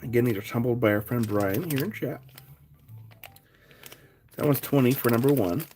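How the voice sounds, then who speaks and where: A man with a raspy voice, chatting casually as he sells rocks on a live stream and thanks buyers by name. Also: mid-range voice, normal speed, a little mellow, slight background noise. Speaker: live stream rock seller. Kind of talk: casual live sale chat.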